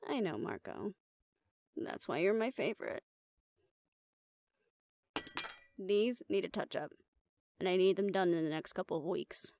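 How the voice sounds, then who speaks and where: A woman's voice: lowish voice, expressive, normal speed, creaky, background noise. A woman playing a confident, teasing monster hunter in an audio roleplay, with a wry, coaxing manner. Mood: charming, coaxing. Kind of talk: intimate scripted audio drama acting.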